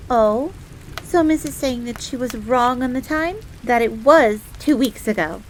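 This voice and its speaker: Woman playing a high-pitched, prickly fox maid, rain behind. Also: measured pace, slight background noise, lightly acted, poor audio. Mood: suspicious, accusing. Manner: formal, refers to herself in third person. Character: flustered, jealous, tsundere young female fox maid servant. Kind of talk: scripted roleplay audio drama. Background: rain on a surface.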